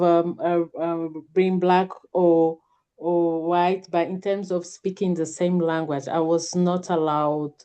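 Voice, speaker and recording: A woman with a lowish voice whose first language is Portuguese joins a video call as a workshop participant. She recounts her experience haltingly and fills her pauses with "um" and "uh.". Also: dark tone, clean tone. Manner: hesitant, many fillers.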